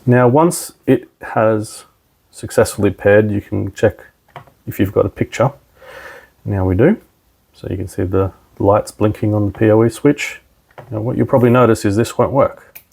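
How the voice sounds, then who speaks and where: A man with a lowish, gravelly voice walks through a technical setup as a product trainer. He speaks informally, giving instructions one step at a time in a casual tutorial style. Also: normal speed, a little mellow. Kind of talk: casual hands-on tutorial narration.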